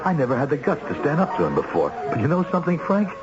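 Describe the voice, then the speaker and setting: A man's voice: on the high side, huge pitch swings, very fast, dull audio. An actor playing a respectable family man turned self-assured hired killer in an old-time radio drama, speaking emphatically and insistently. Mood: boastful, fervent. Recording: band-limited old radio recording with music.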